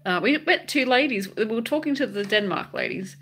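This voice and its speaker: A woman's voice: a little high, animated, fairly quick, fairly bright tone, breathy edge. A woman born in England whose accent mixes English and Australian, hosting a casual live chat and reading viewer comments aloud. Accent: English with some Australian. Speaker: crochet channel host.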